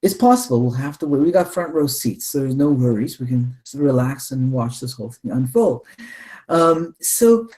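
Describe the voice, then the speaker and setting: Mellow-voiced man explaining with emphasis. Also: mid-range voice, animated, moderate pace. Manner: emphatic, rhetorical questions, repeats phrases for weight. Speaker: interview guest, researcher. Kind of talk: extended explanatory talk on Bible prophecy.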